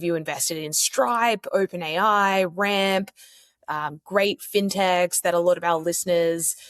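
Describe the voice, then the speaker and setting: A woman's voice: fairly low pitch, dry recording. Woman hosting a podcast, dry sound. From Australia. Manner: upbeat, enthusiastic. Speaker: podcast host. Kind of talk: podcast interview.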